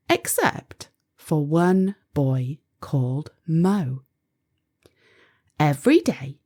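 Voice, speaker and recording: A woman storyteller reading a children's picture book aloud in an expressive, deliberate way for young listeners. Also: on the low side. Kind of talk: scripted children's audiobook narration.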